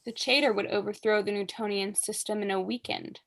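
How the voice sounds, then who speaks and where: A woman's voice: medium pitch, steady tone, fairly quick, creaky, close to an everyday voice. A young woman acting a haughty, witty aristocratic mother in a staged reading, pompous, arch and declamatory. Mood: arch, imperious. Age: young adult. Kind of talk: staged reading of a period comedy.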